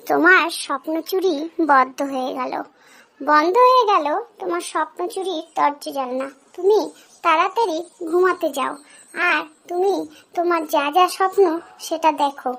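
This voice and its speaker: A woman voicing a helpful fox friend in a children's cartoon, explaining things in a gently bossy way. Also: very high-pitched, heavily acted.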